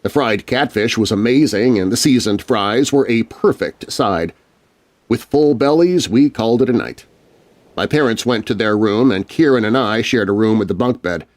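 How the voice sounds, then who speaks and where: A man narrating a scary storybook tale as a shaken, grumbling kid on family vacation, with expressive, dramatic storytelling and deliberate pauses. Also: lowish voice, no echo, pitched above the performer's own voice, lightly acted. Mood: curious. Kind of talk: first-person scripted story narration.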